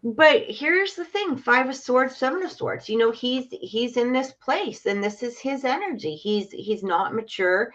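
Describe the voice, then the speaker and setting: A woman's voice: on the high side, expressive, unhurried, few pauses, fairly bright tone, lo-fi sound. A woman hosting a live tarot reading, chatting her way through the cards with lots of "you know". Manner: chatty, explains cards with filler words. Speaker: psychic tarot reader, host.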